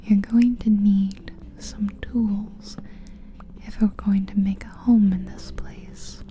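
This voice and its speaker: A woman whispering gently in a breathy, soothing ASMR style, guiding a relaxing visualization with long unhurried pauses. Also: medium pitch, level tone, slight background noise. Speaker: ASMR guide narrating a guided visualization. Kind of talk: soft-spoken ASMR guided visualization. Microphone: close-up ear-to-ear ASMR microphone.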